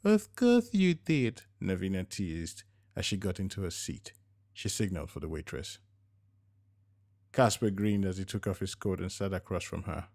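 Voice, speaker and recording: Male narrator for prose fiction, lower-pitched voice, scripted storytelling delivery. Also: steady tone, normal speed, creaky, darkish tone.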